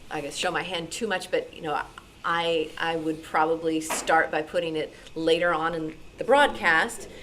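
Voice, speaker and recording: A woman's voice: low-pitched, fairly even intonation, normal speed. A woman giving informal, encouraging answers as a radio show panelist at a meeting. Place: meeting room. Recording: noisy live meeting room.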